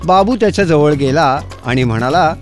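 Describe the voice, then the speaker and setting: A male storyteller reads a scripted fairy tale for children. His voice is on the higher side, and music plays beneath the narration. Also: expressive, moderate pace.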